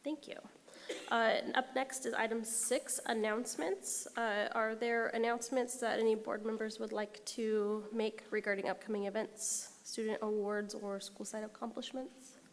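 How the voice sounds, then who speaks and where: A woman's voice: fairly high pitch, little pitch movement, normal speed. Woman chairing a meeting in an echoey hall. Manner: filler-heavy, upbeat and encouraging. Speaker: school board president. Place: public meeting room. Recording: reverberant hall, faint room noise.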